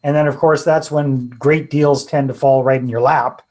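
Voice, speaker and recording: A man's voice: mid-range voice, fairly even intonation, moderate pace, darkish tone. A middle-aged Canadian man from British Columbia, a podcast guest telling his story in a chatty, self-deprecating way and laughing easily. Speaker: podcast guest, real estate investor. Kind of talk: casual storytelling interview.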